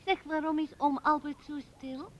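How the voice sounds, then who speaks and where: A woman's voice: high voice, steady tone, normal speed, highs cut off, close to an everyday voice. A female performer playing a small, naive and curious girl in a radio play, with a childlike, eager way of talking. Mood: curious, animated.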